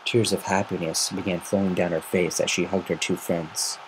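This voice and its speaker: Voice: low voice, flat delivery, normal speed. An amateur reader giving an earnest, semi-dramatic narration of a fan fiction story on a noisy home recording.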